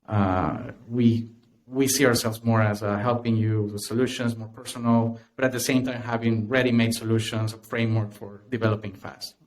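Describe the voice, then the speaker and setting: A man's voice: fairly low pitch, steady tone, normal speed. A man from Honduras with a Honduran Spanish accent, a podcast guest who talks with many fillers and restarts. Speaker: guest, tech company cofounder. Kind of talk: podcast interview.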